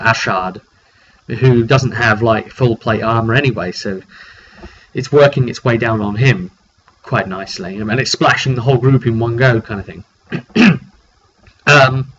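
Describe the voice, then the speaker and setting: Man, medium pitch, game master, casual slangy storytelling, muffled lo-fi home recording, slight background noise. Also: a bit bright. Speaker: game master recounting a session. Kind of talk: casual storytelling of a tabletop roleplay session.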